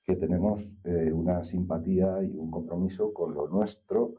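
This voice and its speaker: A man's voice: low-pitched, steady tone, normal speed, dark tone, breathy edge. A middle-aged or older man from Castilla-La Mancha, with an accent from Spain, talking reflectively and hesitantly as an interview guest. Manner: hesitant, thoughtful, digressive. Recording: slightly dull, lo-fi sound.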